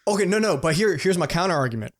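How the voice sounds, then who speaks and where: A young man co-hosting a podcast in casual, excitable, sweary fan talk. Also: high voice, very fast. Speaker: podcast co-host. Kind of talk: casual chat.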